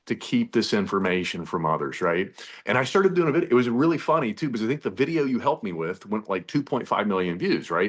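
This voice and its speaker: Middle-aged man, low voice; earnest storyteller on a video call. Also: a bit bright. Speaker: partner and guest on a call. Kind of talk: personal testimony.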